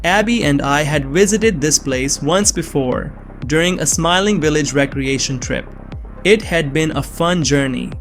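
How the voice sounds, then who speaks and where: A man's voice: fairly high pitch, measured pace, a bit bright, soft background music. A young man giving earnest, scripted first-person narration of a suspense story. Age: young adult.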